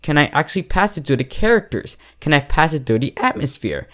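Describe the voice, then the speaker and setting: A man's voice: fairly high pitch, sing-song, fairly quick, a bit bright. A man speaking as the host of an online fiction review channel, giving a scripted critique straight to his viewers.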